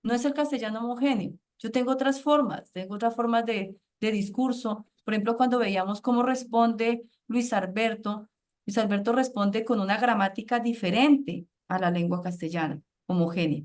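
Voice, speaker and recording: A woman university professor with a Colombian accent, leading a class discussion in an animated way with frequent rhetorical questions. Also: on the high side, moderate pace.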